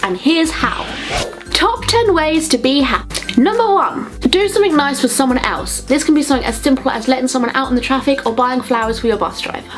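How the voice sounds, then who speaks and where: A young adult woman with a southern English accent, chatting to viewers as a comedic vlogger with playful, sarcastic asides and mild swearing. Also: high voice.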